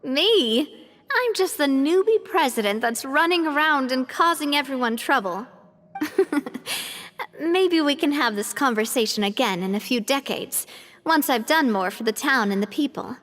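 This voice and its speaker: High-voiced, poised young lady boss. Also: a little slow, slight background noise. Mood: playful, modest. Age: young adult. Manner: poised, expressive, sincere. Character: young lady boss, gracious, resilient, playfully modest. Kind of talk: video game voice acting. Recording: faint music behind.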